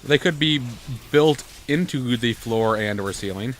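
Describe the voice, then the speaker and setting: A man chatting casually and jokily while he plays a video game, rambling with halting starts. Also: mid-range voice. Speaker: gaming video co-host and player. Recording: noisy home gameplay recording.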